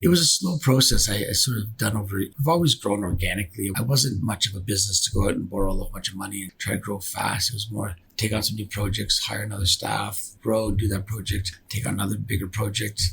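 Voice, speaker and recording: A man's voice: lowish voice, fairly even intonation, fast talker, gravelly, hardly any pauses, a bit bright, a little hiss or hum, low-quality recording. A man speaking casually as a company director in an interview, often repeating words and restarting phrases as he explains. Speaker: business founder and company director being interviewed.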